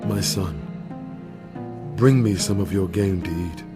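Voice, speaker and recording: An actor playing a frail, solemn old blind father with a deliberate delivery in a Bible audio drama. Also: deep voice. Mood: solemn, tender. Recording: dramatic music behind the voice.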